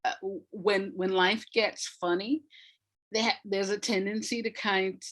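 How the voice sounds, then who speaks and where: A woman speaking as an interview guest, reflective and emphatic, deliberately repeating words to stress her points. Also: medium pitch, fairly even intonation, a bit bright. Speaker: interview guest, audio drama writer.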